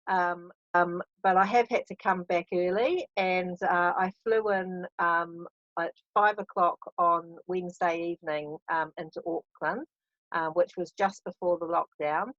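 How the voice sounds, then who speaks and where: A woman's voice: fairly low pitch, fairly even intonation, unhurried, fairly bright tone, no echo. A woman from New Zealand, speaking in a video call interview with frequent hesitations. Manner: hesitant, many ums. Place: caravan at a beach. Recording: dull dry video call audio.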